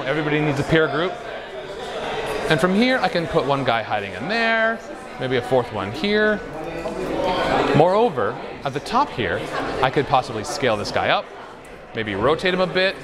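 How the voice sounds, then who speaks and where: A man giving a live product demonstration in an upbeat, playful showman's manner. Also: on the high side. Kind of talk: live stage demo presentation. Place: busy trade show floor. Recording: loud crowd noise behind. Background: convention crowd.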